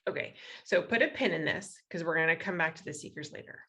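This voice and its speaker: A woman's voice: fairly low pitch, quick pace, sharp tone. A woman from western Iowa with an American accent gives an explanatory public talk as a guest lecturer, conversational and checking in with rhetorical questions. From western Iowa, United States.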